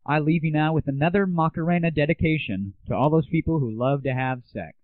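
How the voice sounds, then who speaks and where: A man's voice: on the high side, fairly quick, dry recording, phone-quality sound. A man hosting a podcast, giving a blunt, sarcastic review and swearing freely. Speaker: podcast host. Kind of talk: ranting gadget review.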